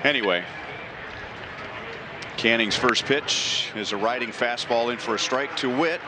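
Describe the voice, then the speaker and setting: Steady baseball play-by-play man. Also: mid-range voice, a little slow, noisy background. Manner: steady, informative delivery. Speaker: play-by-play announcer.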